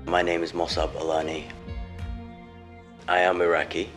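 A young English man with a very low voice speaks earnestly in a documentary interview. He pauses often with ums as he describes what happened. Clear music plays underneath. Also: monotone. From England. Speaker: interviewee, former protester.

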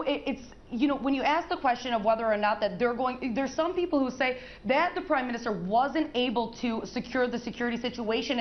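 A woman's voice: a little high, highs cut off. A woman correspondent giving a live field report, earnest and often repeating her words.

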